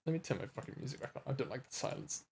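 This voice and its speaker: A man hosting a livestream, chatting casually with viewers in an animated, joking, sweary way. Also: mid-range voice, rapid-fire, gravelly, a little hiss or hum. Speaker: livestream host, voice actor. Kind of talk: casual chat with viewers, reading comments.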